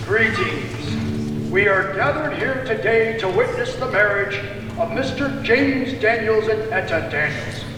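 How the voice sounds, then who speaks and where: A man playing a bold, formal 1850s gentleman with deliberate, theatrical delivery in a children's puppet show. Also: high-pitched. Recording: echoey hall from far off, music.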